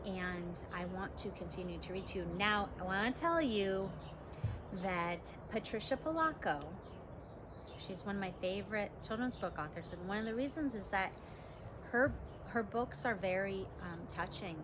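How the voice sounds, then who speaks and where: A woman teacher reading a storybook to pupils, expressive, with casual asides and questions to the young listeners. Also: medium pitch, moderate pace, background noise. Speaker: elementary school teacher.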